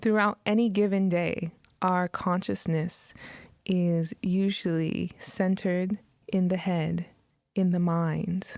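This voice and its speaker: A woman guides a meditation very slowly, leaving long pauses between short phrases. Her voice is mellow and slightly low, with soft breathy edges, recorded dry and close. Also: fairly even intonation, no room sound. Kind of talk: guided meditation, scripted narration.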